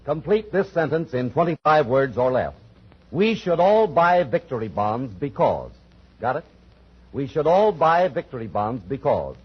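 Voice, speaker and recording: A man's voice: mid-range voice, very animated, a little slow, breathy edge. An American man reading a 1940s radio advertising announcement as a commercial announcer. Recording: muffled 1940s radio broadcast recording.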